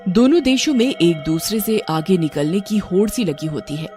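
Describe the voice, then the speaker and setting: A woman's voice: on the low side, animated, moderate pace, a little mellow, faint music behind. A woman narrator reading a scripted story.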